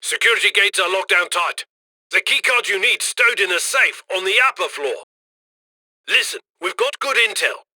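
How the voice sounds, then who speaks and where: A man's voice: high voice, lively intonation, normal speed, sharp tone, no room sound, full character voice. A man playing a cheerful, cheeky heist handler who chummily guides a robbery crew in a video game. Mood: urging, instructing. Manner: chummy, cheeky, colloquial, gleeful about loot. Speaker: mission handler. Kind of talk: video game mission voice lines.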